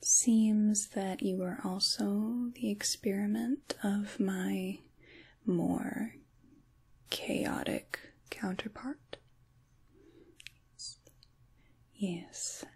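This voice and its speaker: A woman playing an ancient, kindly witch in a soft-spoken ASMR role-play, with breathy, whispery speech and a musing, wry, gently reassuring manner. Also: mid-range voice, steady tone, extremely slow, heavily acted.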